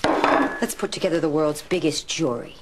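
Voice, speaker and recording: An actress playing an intent radio serial writer who dictates stories dramatically. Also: female voice, low voice, moderate pace, heavy background noise, lightly acted. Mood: encouraging.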